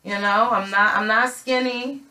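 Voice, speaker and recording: Bright-voiced middle-aged woman hosting. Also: fairly high pitch, fairly even intonation, unhurried, poor audio. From not from Connecticut. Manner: conversational, many fillers and "you know". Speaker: podcast host. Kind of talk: casual group discussion.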